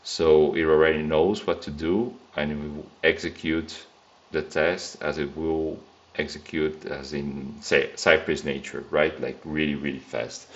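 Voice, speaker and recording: A man's voice: rumbling low, dull audio. A man whose first language is Brazilian Portuguese, speaking English with a Brazilian accent as he walks through a coding tutorial, talking casually and thinking aloud with many fillers and restarts. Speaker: software test engineer. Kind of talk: screen-recorded coding tutorial.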